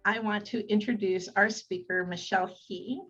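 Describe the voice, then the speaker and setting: A woman's voice: mid-range voice, steady tone, moderate pace, fairly bright tone, faint music behind. A woman host reading a speaker introduction with a few small slips, over a video call. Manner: reads carefully, with small slips. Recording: dull video call audio.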